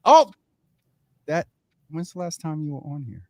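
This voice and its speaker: Animated man hosting a live talk show. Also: a little high, unhurried, no echo. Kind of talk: casual live banter and hosting.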